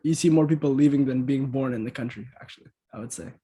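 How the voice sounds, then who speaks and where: A young man, a podcast guest, speaking in a measured, hesitant way with fillers. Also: mid-range voice, warm tone, husky. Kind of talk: casual interview chat.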